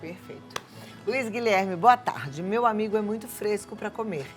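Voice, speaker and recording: A woman's voice: medium pitch, moderate pace, soft background music. A middle-aged woman with a Brazilian accent, hosting a live talk show in an effusive, chatty way. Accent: Brazilian Portuguese, softened after decades in São Paulo. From Rio de Janeiro, Brazil. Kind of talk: casual live hosting, reading viewer questions.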